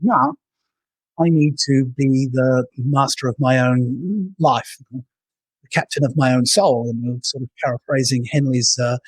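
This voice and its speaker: A middle-aged man from England with an Australian-tinged English accent, speaking as an interview guest over a video call and acting out quoted thoughts in lively asides. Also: mid-range voice, unhurried, husky, dry recording, low-quality recording. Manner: animated storyteller, acts out quoted inner dialogue. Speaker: guest speaker on self-leadership. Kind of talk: conversational interview answers. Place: bright room with arched windows, indoors.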